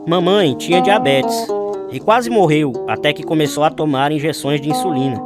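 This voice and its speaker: A man's voice: fairly high pitch, moderate pace, over loud music, natural voice. An actor playing an earnest man recalling his youth in scripted radio drama narration. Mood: reflective.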